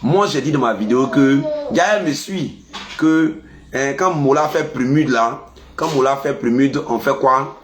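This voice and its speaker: A man with an Ivorian French accent and a head cold delivers a casual monologue. His voice swings up and down as he scolds, stuffy yet animated, at a middling pitch. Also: moderate pace. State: has a cold. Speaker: vlogger.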